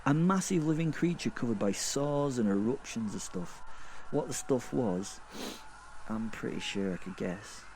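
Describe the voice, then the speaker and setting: A man playing a gleefully morbid werewolf killer in a horror audio drama, telling of his fall through hell in a halting, sweary, conversational way. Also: fairly low pitch, a little mellow, a little hiss or hum. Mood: wry awe. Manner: rambling, conversational, sweary, halting and self-correcting. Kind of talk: first-person horror audio drama monologue.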